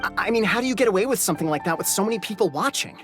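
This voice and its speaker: A woman's voice: medium pitch, animated, very fast, natural voice. An actress playing a nervous, superstitious townswoman in a video game, jittery and gossipy. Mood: uneasy. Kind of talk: video game character acting. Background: music.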